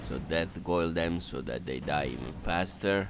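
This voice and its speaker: A man's voice: deep voice, measured pace, noisy background. A man giving an unscripted strategy game walkthrough in a hesitant, step-by-step instructional manner. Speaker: gameplay guide narrator.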